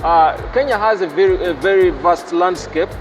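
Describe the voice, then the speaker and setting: Ugandan man, casual explainer, slightly high voice, music behind. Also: normal speed, crowd noise, poor audio. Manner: expansive, explains at length. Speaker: guest. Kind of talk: casual chat.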